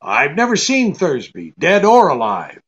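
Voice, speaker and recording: An actor playing a wary, hard-boiled private detective, curt and sardonic, in 1940s radio drama style. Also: male voice, fairly high pitch, wildly swooping pitch, a little slow, a bit bright, muffled recording, heavily acted. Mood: probing, cool.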